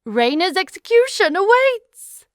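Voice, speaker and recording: A woman's voice: very high-pitched, sing-song, measured pace, dry recording, studio quality, full character voice. A woman playing a smug, merciless female villain in an audio drama, pronouncing things in a haughty, taunting way. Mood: cold gloating.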